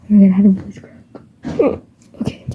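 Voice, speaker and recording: A woman's voice: mid-range voice, moderate pace, a little hiss or hum. Nervous, half-whispering woman. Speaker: fan audio creator. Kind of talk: casual chat before an audio drama. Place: home. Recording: dull muffled home recording.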